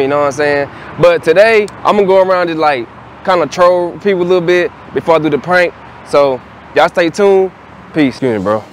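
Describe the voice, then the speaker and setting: A man's voice: fairly high pitch, a little hiss or hum. A young American man hosting pranks in casual, slangy banter, often repeating himself. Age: young adult. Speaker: prank show host. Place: outdoor parking lot and inside a large store.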